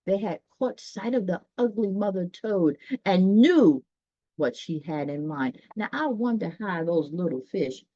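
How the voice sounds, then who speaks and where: Lady reading a kids story real slow, super up and down, folksy chatty asides, kinda muffled dry recording. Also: lowish voice, animated, warm tone, no echo. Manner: folksy, chatty, musing aloud to listeners. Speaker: storyteller reading aloud to children. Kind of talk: expressive read-aloud with casual asides.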